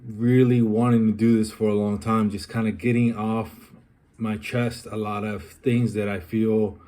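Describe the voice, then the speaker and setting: A young man from Colombia talking through a personal, reflective vlog, pausing often with ums and uhs. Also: on the low side, flat delivery, mellow. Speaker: vlogger.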